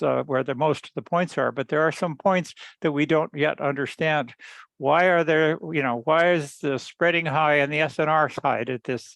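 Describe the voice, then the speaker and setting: Man, gravelly mid-to-low voice, halting technical explainer on a conference call, dry close sound. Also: a bit bright, dry recording. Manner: halting, repeats words, frequent throat clearing. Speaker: engineer presenting research at a teleconference. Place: group teleconference call.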